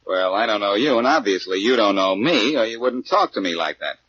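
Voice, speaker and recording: Cocky wisecracking detective, lowish forceful voice, breezy banter, old radio drama, dull sound. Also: male voice, expressive, brisk, dry recording. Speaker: actor, radio drama lead. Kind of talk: 1940s radio acting.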